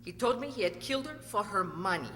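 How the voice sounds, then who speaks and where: A woman playing a cold, accusing witness in an amateur radio courtroom play, dramatic and deliberate, in a reverberant hall. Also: mid-range voice, lively intonation, pushed and bright. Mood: accusing, grave. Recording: reverberant hall, faint noise and hum.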